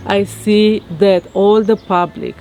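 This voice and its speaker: A woman from Romania with a Romanian accent, talking reflectively to her viewers in a halting way with many fillers. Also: mid-range voice, flat delivery, mellow, a little hiss or hum. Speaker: vlogger. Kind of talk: casual monologue to camera. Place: outdoors in her garden.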